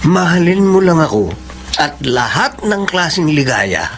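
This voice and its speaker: A man's voice: fairly high pitch, unhurried, loud music behind the voice. A man acting a cocky, brash young boyfriend in a Filipino radio drama, charming yet hot-tempered, with a swaggering manner and Filipino accent. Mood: wooing. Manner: swaggering, animated.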